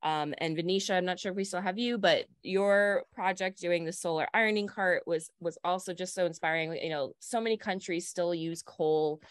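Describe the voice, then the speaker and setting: A woman's voice: fairly low pitch, normal speed, hardly any pauses, clear, resonant tone, no room sound, clean studio sound. A woman moderating an online panel, asking questions in a hesitant way full of fillers and restarts, over a video call. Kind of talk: casual conversational questions.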